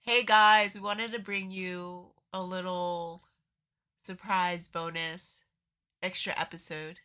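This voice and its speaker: A woman's voice: fairly low pitch, little pitch movement, slow, bright, forceful tone, smooth. A woman podcast co-host chatting casually, congested and nasal from allergies, laughing often. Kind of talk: casual chat.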